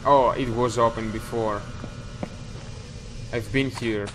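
A man's voice: mid-range voice, steady tone, slow pace, background noise. A young man commentating a horror game as he plays, thinking aloud and startling easily, on a headset mic. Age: young adult. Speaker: let's play gamer. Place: small room indoors. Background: game music.

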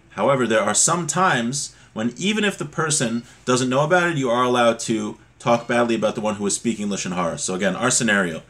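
A man in his thirties teaches religious law informally, explaining texts in a halting, repetitive way, recorded on a computer mic in a small room at home. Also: mid-range voice, a bit bright. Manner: halting, explanatory, repeats points. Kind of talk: informal text study, reading Hebrew passages and explaining them.

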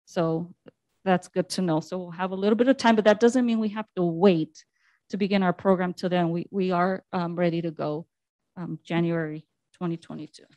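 A woman's voice: lowish voice, steady tone, normal speed, dark tone, no echo, muffled recording. A woman on city staff presenting to a council meeting, reading slide text aloud with frequent fillers.

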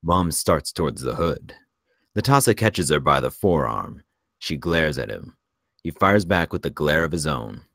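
A man reading screenplay stage directions aloud as a narrator, in measured present-tense narration. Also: very deep voice, very animated, gravelly, a bit bright, no echo, clean studio sound.

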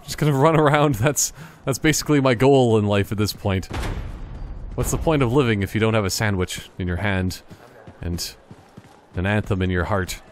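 Casting: male gaming host, casual and jokey, deadpan, lowish voice, slight background noise. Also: normal speed. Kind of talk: casual joking game commentary.

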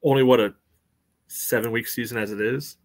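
A man's voice: mid-range voice, fairly even intonation, moderate pace. A man in his thirties co-hosting a casual sports podcast over a video call from a room at home. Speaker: podcast co-host. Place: small room at home, indoors.

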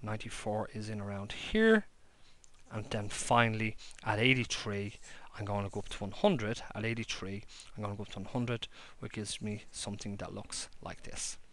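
A man's voice: lowish voice, moderate pace, a bit bright. A man teaching a maths lesson and explaining each step plainly while he draws on paper at a desk. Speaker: maths lecturer. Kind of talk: handwritten whiteboard-style maths lesson. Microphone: overhead camera above a desk.

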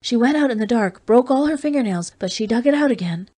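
A scared, tearful young woman pleads in a high, shaky voice, as a woman reading the story puts her on. The voice sits well above the reader's own, with a soft, mellow tone. It is a storybook character voice. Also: expressive, fast. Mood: frightened. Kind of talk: audiobook character voice.

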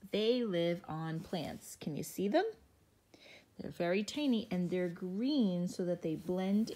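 A woman teacher reads a picture book to little children at an unhurried, patient pace. Her voice sits in a middle range, gentle and expressive. She drops into hushed whispers and small gasps of wonder as she goes. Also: medium pitch. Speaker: teacher reading to young children. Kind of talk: picture book read-aloud for young children.

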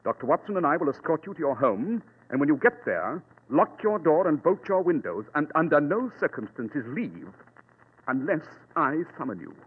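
An actor playing a shrewd, composed gentleman detective in a formal, commanding manner, in 1940s radio style. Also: a little high, big pitch swings, moderate pace, breathy edge. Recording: noisy, tinny old radio recording.

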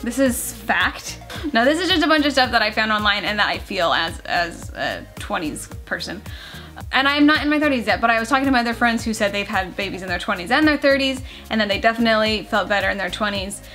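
Twenties woman vlogger, earnest casual chat on the couch, slightly high voice, camera mic across the room. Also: animated, fast talker, pushed and bright, soft background music. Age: 20s. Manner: chatty, earnest, many "like" fillers. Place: living room couch, indoors. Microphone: camera mic at a few feet.

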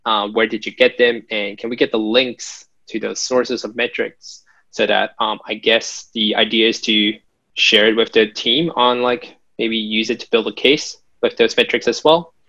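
A man's voice: lowish voice, flat delivery, moderate pace, sharp tone. A man hosting an online Q&A, casually reading out audience questions in a hesitant, informal way over a video call. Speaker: Q&A host. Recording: dull lo-fi video call audio.

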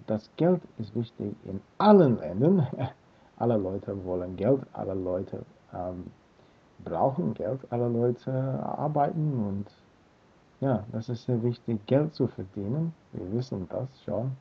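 A man in his thirties from Australia talks slowly into a webcam, pausing and hunting for words. His voice is very husky with gravelly fry, and the sound is thin and tinny like a phone line. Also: mid-range voice. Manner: hesitant, searching for words with many fillers. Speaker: language learner vlogger. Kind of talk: casual talk to camera. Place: small room indoors. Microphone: webcam built-in mic.

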